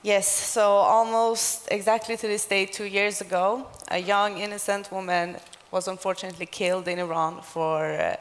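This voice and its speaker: A woman's voice: medium pitch, fairly even intonation, normal speed, hardly any pauses. A woman in her twenties from Sweden, giving a personal account as a panelist. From Gothenburg, Sweden. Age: 20s. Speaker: panelist, activist. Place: large conference hall stage. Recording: reverberant conference hall.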